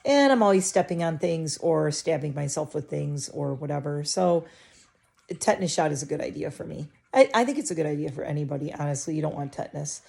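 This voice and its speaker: A woman's voice: low-pitched, lively intonation, fairly quick, vocal fry, mellow. A middle-aged woman vlogger chatting casually to camera from her living room, rambling and interrupting herself, a little stuffy with a scratchy throat.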